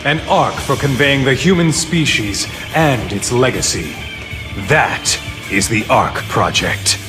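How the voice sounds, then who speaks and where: Middle-aged villain director, game drama, condescending, slow, wide swings in pitch, music behind. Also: male voice, lively intonation. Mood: grandiose. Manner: theatrical, condescending, measured. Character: calculating middle-aged male director, coldly grandiose villain. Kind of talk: dramatic game cutscene acting.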